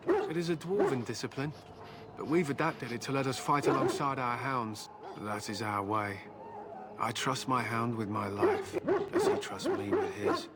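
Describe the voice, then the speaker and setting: An actor playing a grim, proud warrior who fights alongside hounds in a video game, speaking in solemn declarations. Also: male voice, mid-range voice, sing-song, moderate pace, heavy background noise, close to an everyday voice. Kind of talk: scripted video game character dialogue. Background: loud game music.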